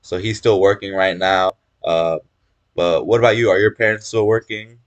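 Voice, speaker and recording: Deep-voiced young man chatting casually. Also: moderate pace. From California. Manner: relaxed, many fillers and restarts. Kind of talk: casual chat.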